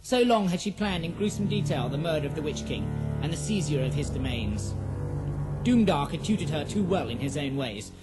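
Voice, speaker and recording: A man's voice: a little high, lively intonation, fairly quick, over loud music, poor audio. A man narrating a scripted fantasy audio drama in a solemn, literary storytelling manner.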